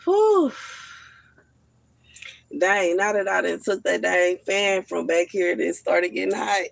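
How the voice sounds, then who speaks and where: A woman in her thirties on a casual livestream, telling stories in an animated, slangy way and laughing often. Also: medium pitch, slow, gravelly, no room sound. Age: 30s. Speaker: livestream host.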